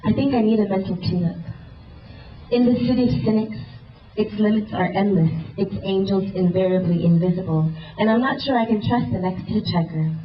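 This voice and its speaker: A woman's voice: mid-range voice, normal speed. A young woman reads her spoken word poetry in a rhythmic, earnest, inward-looking way. She is picked up from far off in an echoey event hall. The sound is muffled, with a faint hiss of the room behind her. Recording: roomy, distant, muffled live recording.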